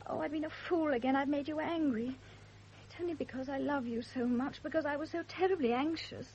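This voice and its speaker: An actress playing a devoted, anxious young wife in a radio drama, earnest and apologetic. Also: female voice, high voice, a little fast, darkish tone. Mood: anxious, pleading. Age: young adult. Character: anxious, devoted young pregnant wife, self-blaming and emotional. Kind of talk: period radio drama acting. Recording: dull, noisy old radio drama recording.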